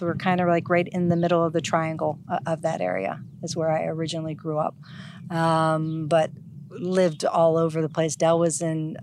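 A woman's voice: fairly low pitch, darkish tone, slight background noise. Chatty woman winery owner from Ohio. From Ohio, USA. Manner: chatty, laughs often, trailing restarts. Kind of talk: casual chat.

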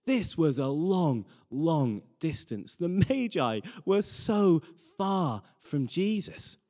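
A man's voice: on the high side, big pitch swings, slow, mellow. A man preaching a sermon with personal anecdotes, earnest and repeating phrases for emphasis. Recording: reverberant hall.

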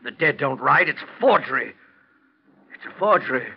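An actor playing a guilty, fearful husband in angry denial, with agitated, theatrical delivery in an old-time radio thriller. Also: male voice, mid-range voice, lively intonation, moderate pace, vocal fry, breathy edge. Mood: uneasy, defensive. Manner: agitated, theatrical, repeating himself in panic. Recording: muffled, noisy old radio drama recording.

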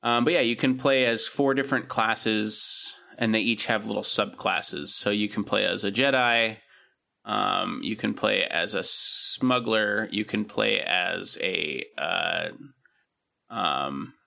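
A young man with a low, gravelly voice and a bright, edgy tone talks through a topic in a loose, casual way. He leans on plenty of fillers as he explains. It sounds like relaxed podcast chat between friends. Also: slow. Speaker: podcast co-host.

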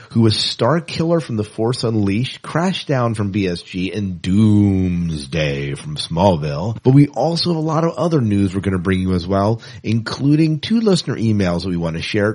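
A man's voice: lowish voice, sing-song, moderate pace, few pauses, a little mellow. A man co-hosting a podcast in casual chat, with a playful, teasing way of talking. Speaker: podcast co-host.

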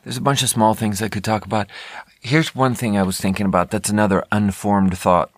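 American male podcast host, lowish pitch, candid conversational rambling, self-interrupting, very dry sound. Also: fairly even intonation, brisk, no room sound. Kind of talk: casual rambling solo talk.

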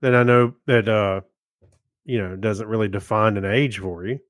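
A man co-hosting a podcast, reviewing things casually in a halting, self-correcting way. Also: fairly low pitch, fairly even intonation, dry recording, clean studio sound. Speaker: podcast co-host.

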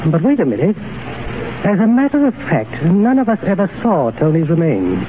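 A high-voiced, excitable gentleman uncle, swooping through proper, exclamatory phrases in old radio style. He is kindly and well-bred, heard on a scratchy, narrow recording. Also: moderate pace. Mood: concerned, kindly. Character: excitable, kindly upper-class English uncle. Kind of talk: 1930s radio drama acting.

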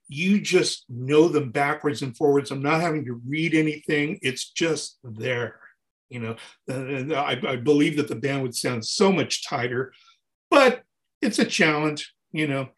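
A man in his sixties, a touring keyboard player, talking animatedly in a casual interview and often restarting his sentences. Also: on the high side. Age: 60s. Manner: animated, talks in long runs with restarts.

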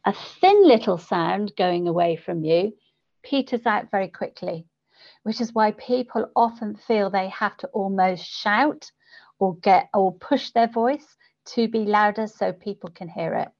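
Woman, medium pitch, voice coach, instructive, deliberate, explanatory interview talk, dulled audio. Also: animated, a little mellow, lo-fi sound. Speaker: guest voice coach for public speakers.

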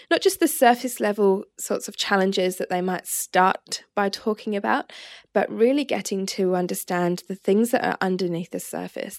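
An Australian woman hosts a podcast, speaking articulately and enthusiastically with some vocal fry. The recording is dry and close, with no room sound. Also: medium pitch, no room sound. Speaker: podcast host. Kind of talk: podcast intro and interview.

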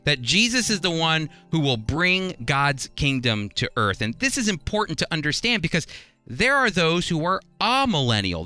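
A man's voice: fairly high pitch, sharp tone, clean tone, no room sound, studio quality. A man hosting a religious radio program, teaching the Bible in a conversational, earnest, explanatory way with emphasis.

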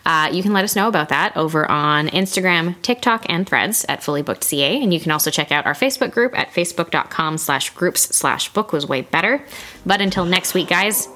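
A woman's voice: on the low side, fairly quick, pushed and bright. A woman co-hosting a book podcast, chatting casually and expressively, explaining things at length with plenty of asides. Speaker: podcast co-host.

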